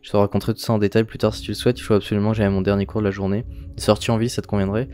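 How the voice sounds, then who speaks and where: A man's voice: on the low side, flat delivery, rapid-fire, a little mellow, natural voice. A man reading an earnest, confiding teenage schoolboy in a visual novel. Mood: friendly, considerate.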